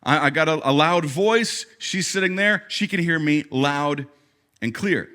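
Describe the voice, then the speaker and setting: A male pastor preaching a sermon on stage with a worn mic, shifting between animated talk and quiet intensity. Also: a little high, measured pace. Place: church stage with congregation. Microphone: wearing a microphone.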